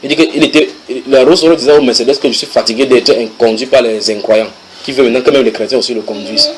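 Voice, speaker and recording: A man preaching a sermon to a congregation, reading English passages aloud and translating them with lively asides to his listeners. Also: medium pitch, fast, a little hiss or hum, low-quality recording. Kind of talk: sermon teaching.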